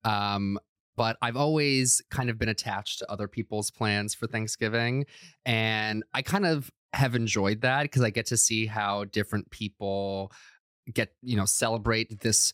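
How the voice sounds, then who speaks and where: A man's voice: fairly low pitch, fairly even intonation, fairly bright tone, dry recording, clean studio sound. A man from Croatia, co-hosting a podcast in casual chat, joking and self-deprecating. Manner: joking, self-deprecating, quick asides with frequent laughs. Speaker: podcast co-host.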